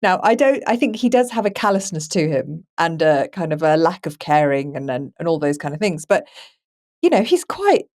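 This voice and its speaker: A woman's voice: low-pitched, very animated, normal speed, darkish tone. A woman co-hosting a podcast, talking through a film in a casual, thoughtful and conversational way. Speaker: podcast co-host.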